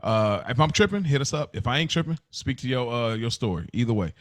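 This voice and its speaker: A man's voice: mid-range voice, animated, a little fast, no room sound. A man co-hosting a casual podcast, making points emphatically and building them up through repetition. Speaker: podcast co-host.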